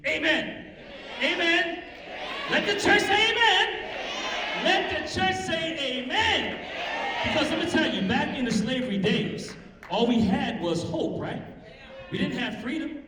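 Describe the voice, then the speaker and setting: A man's voice: high voice, a bit bright. A man speaking as master of ceremonies at a live stage event, rousing the audience with church-style exhortation and call-and-response. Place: large echoey hall with live audience. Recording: echoey hall from far off, crowd noise.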